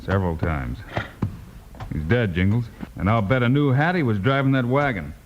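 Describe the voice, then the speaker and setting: Guy playing an old west marshal, brisk take-charge hero, muffled hissy old radio serial sound. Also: mid-range voice, expressive, lightly acted. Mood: grim. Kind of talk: old-time western radio drama acting. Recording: dull, hissy vintage radio recording.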